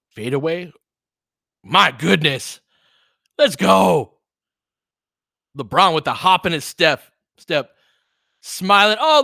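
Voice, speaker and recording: Middle aged guy doing chatty live hoops commentary, bright punchy voice, dry close sound, opinionated. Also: a little high, creaky, dry recording. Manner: conversational, opinionated, reacts aloud to the action. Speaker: online basketball play-by-play host. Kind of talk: casual live play-by-play commentary mixed with chat.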